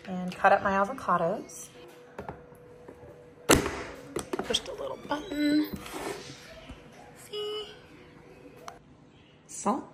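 A young woman in her home kitchen explaining a recipe step by step, upbeat and casual. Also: mid-range voice. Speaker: vlogger, trucker wife. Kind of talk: casual cooking vlog narration. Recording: echoey kitchen, faint hiss.

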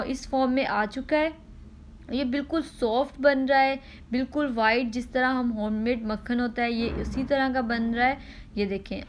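A woman host, giving a patient step-by-step cooking demonstration at home, repeating instructions and pausing with "ah" between steps. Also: sits high, slight background noise.

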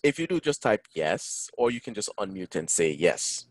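A man teaching an online Quran lesson, engaging his listeners and reciting Arabic verses quickly. English is not his first language. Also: on the low side, sing-song, dry recording. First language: neither Arabic, English nor French. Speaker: imam teaching a Quran class. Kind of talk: online Quran lesson over a video call. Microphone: video call.

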